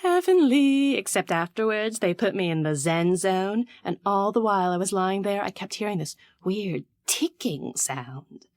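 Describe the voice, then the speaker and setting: A woman's voice: mid-range voice, very animated, measured pace, hardly any pauses, a little mellow, no echo, clean studio sound. A woman playing a gossipy, confiding salon guest in a video game, chatting conversationally. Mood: puzzled. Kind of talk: scripted game voice acting, storytelling chat.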